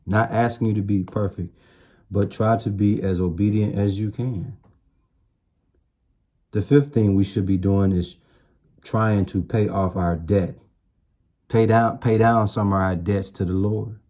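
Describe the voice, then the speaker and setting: A man's voice: lowish voice, steady tone, a little slow, long pauses, mellow. A man giving an informal sermon in blunt, streetwise slang, repeating phrases for emphasis. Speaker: street-style preacher.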